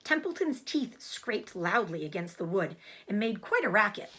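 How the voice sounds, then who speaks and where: A woman narrates a children's storybook as a teacher would. Her delivery is expressive, with a mid-range voice that rises and falls animatedly. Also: mid-range voice, moderate pace. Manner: expressive, explains hard words. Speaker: teacher reading aloud. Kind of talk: storybook read-aloud narration.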